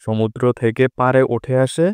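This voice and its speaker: A man's voice: mid-range voice, steady tone, moderate pace. A man giving a scripted storyteller's narration for a fairy-tale cartoon. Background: prominent music.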